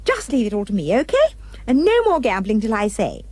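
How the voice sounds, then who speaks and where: A woman voicing a plucky young girl who talks high, quick and pert. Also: wildly swooping pitch, darkish tone, a little hiss or hum. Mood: bossy. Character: plucky young girl, pleading then bossy.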